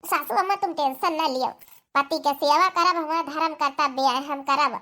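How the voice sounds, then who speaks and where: A woman's voice: high-pitched, normal speed, sharp tone. A woman voicing a devoted, fussing village wife, chatty and reassuring, with an Awadhi accent in a comedy cartoon.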